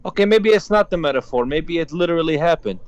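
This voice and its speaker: A man's voice: on the high side, expressive, fast talker, dry recording, dull audio. A man taking part in an unscripted religious debate, explaining his position in a hesitant, defensive way. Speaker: debate participant, caller.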